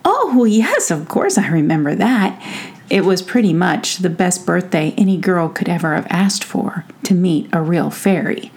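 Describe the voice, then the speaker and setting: A woman voicing a polite, sweet, excitable young girl in a children's story, earnest and gushing. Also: on the low side, a little slow.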